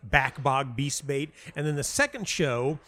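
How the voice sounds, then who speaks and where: A man's voice: medium pitch, lively intonation, measured pace, pushed and bright, a little hiss or hum. An American man speaking as an interview guest, telling his story casually and reflectively, often interrupting himself and repeating words. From United States (North Carolina). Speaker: interview guest, playwright and audio dramatist. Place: hotel lobby.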